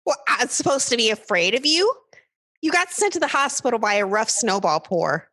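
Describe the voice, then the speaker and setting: A woman's voice: a little high, very animated, moderate pace, a bit bright. A woman playing an earnest, exasperated food expert, a guest in a radio comedy sketch, speaking casually with hesitant stammers. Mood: defiant.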